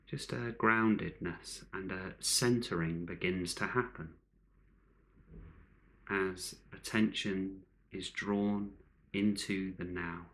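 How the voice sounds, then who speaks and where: A man leading a guided meditation in calm, measured short phrases with long pauses between them. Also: lowish voice.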